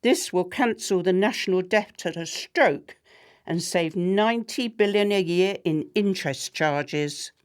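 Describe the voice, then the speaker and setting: A woman acting a cold, slow-spoken scornful wife. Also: fairly low pitch, smooth, lightly acted. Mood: cool, haughty. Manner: haughty, measured, cutting. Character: cold, scornful, ambitious wife of a failing politician. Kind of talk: radio play acting.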